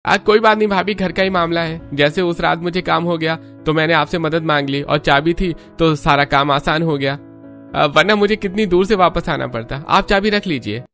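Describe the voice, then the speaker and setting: A man voicing a trusting, puzzled young neighbour in a cartoon, expressive in manner. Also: on the high side, moderate pace, soft background music. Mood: friendly. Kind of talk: cartoon voice acting.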